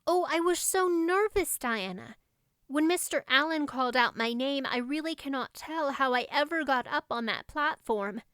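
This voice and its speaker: A woman's voice: high voice, animated, normal speed, bright, forceful tone, no room sound, clean studio sound, pitched above the performer's own voice. A woman voicing a dreamy, dramatic thirteen-year-old girl in an audiobook, gushing and romantic. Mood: excited, gushing. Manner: breathless, gushing, lofty at times. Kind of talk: audiobook character voice.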